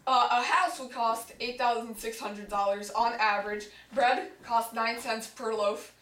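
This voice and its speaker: A woman's voice: a little high, fairly even intonation, unhurried, fairly bright tone, distant mic. A young woman anchoring an amateur mock newscast with a mock-earnest delivery, reading from a script. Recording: echoey room, off the mic.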